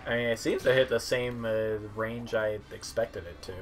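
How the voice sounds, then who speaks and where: A man doing casual game commentary as a streamer, halting as he goes and dropping wry asides. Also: mid-range voice, steady tone. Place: small room at home, indoors. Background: game music.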